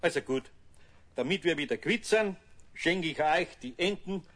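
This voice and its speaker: Lively, bright-voiced Bavarian craftsman. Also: on the high side, normal speed. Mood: kindly. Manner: grumbling, scolding yet kindly. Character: gruff but good-hearted Bavarian master craftsman, older man. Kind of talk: children's radio play acting.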